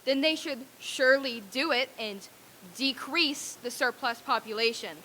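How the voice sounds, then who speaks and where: Woman playing a high, sharp-voiced old miser. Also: unhurried, a little hiss or hum. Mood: cold, sardonic. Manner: curt, scornful, emphatic. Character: miserly, grumpy old businessman, scornful and cold. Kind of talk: old-time radio play stage reading.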